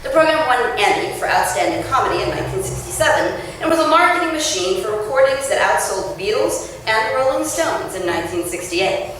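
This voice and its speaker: A woman author giving an expressive, emphatic public reading of a written essay at an event, with clear phrasing. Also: fairly high pitch, brisk, few pauses. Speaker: author reading her essay at a book launch. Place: indoor launch-party event space. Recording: roomy echoing event space, distant mic, faint noise.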